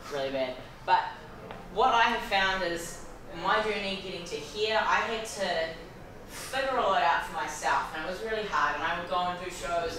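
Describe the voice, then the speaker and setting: A woman with a New Zealand accent, a fitness coach introducing herself candidly at a seminar. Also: fairly low pitch. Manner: candid, self-deprecating. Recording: very echoey room, mic far off, background noise.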